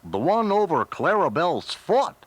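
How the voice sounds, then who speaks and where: A man's voice: high-pitched, very animated, heavy background noise. A man voicing a gangly, dim-witted but good-natured cartoon dog with a throaty drawl, in a storybook read-along. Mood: dopey. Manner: bumbling, slow, swooping delivery. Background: music.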